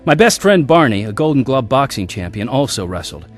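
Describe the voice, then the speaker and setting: A man's voice: mid-range voice, big pitch swings, brisk, faint music behind, natural voice. An actor narrating and playing a young man looking back on his wild youth in a radio drama.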